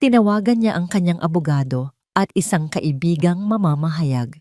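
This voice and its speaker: Lowish-voiced woman narrator, dry sound. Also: animated, normal speed, warm tone, no room sound. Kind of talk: scripted dramatic story narration.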